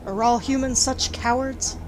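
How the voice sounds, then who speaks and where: A woman's voice: high-pitched, fairly even intonation, a little slow. A woman voicing an earnest, direct young elven warrior in a game, terse and questioning. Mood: challenging. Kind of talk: fan-voiced video game protagonist. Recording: noisy amateur microphone.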